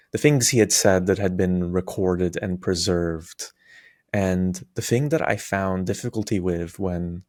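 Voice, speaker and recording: A man's voice: low-pitched, dry recording, clean studio sound. A young man from a Palestinian background, a native Arabic speaker, telling his personal story on his channel in an explanatory way, with frequent fillers and restarts. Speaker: YouTuber.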